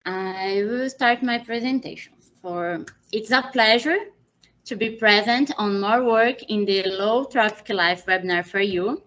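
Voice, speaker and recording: A young woman from Brazil with a Brazilian Portuguese accent, speaking halting non-native English as she presents a webinar from a small room. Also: mid-range voice, fairly bright tone. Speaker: presenter, PhD student. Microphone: video call, laptop mic. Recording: muffled video call audio.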